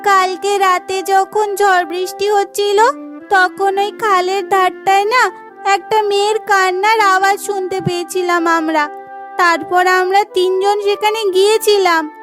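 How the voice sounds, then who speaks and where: A woman's voice: very high-pitched, little pitch movement, unhurried. A woman voicing a timid, anxious little village girl in a cartoon. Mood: earnest. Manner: anxious, childlike. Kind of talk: cartoon voice acting. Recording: clear music behind the voice.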